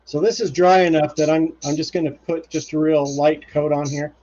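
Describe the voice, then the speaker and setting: A man's voice: on the high side, fairly even intonation, normal speed, mellow. Rambling man, muffled woodshop stream. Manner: rambling, many fillers and restarts. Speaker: woodturning livestream host. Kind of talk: casual live demonstration. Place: home woodshop.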